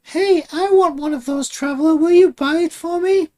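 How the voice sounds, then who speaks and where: A man's voice: very high voice, normal speed, a little mellow, pitched above the performer's own voice. A man voicing a sweet, bashful, girlish young idol healer with a gushing manner, in a comic game parody.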